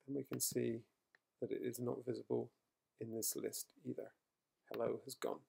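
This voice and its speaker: A middle-aged man recorded at home, talking through a software tutorial as he types, with fillers and quick self-corrections. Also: mid-range voice, flat delivery, vocal fry, slightly rough. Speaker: software trainer. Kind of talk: screencast coding tutorial. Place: quiet home room.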